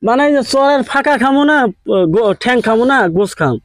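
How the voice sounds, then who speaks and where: A man's voice: extremely high pitch, a little fast, no room sound, clean studio sound. A man with a from Assam, rambling and insistent as he makes a comic prank phone call, often checking that he is understood. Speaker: prank caller.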